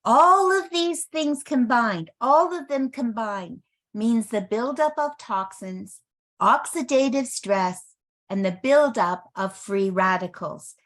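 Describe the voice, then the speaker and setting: A woman in her early sixties giving a motivational health product presentation, speaking emphatically and deliberately and repeating phrases for effect. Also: on the high side, lively intonation, a bit bright. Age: early 60s.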